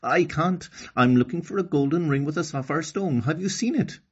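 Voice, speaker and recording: An earnest little village girl from a children's storybook, acted out by a man, with an Irish accent. The voice is lifted high and sing-song, with a husky grain. The audio is slightly dull. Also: normal speed. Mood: questioning, earnest.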